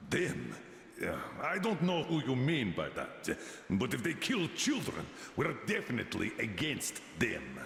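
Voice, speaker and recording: Blunt commanding squad leader, gravelly voice, long hall reverb. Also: male voice, medium pitch, wildly swooping pitch, measured pace, a bit bright, exaggerated voice. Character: stern male squad leader. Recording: echoey hall with faint ambience.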